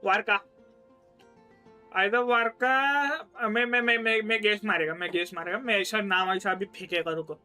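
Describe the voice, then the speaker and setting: A man's voice: sits high, very fast, bright, forceful tone, smooth, faint music behind. A man with an Indian accent giving casual live gaming commentary, repeating short reactions and switching between languages. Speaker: game streamer.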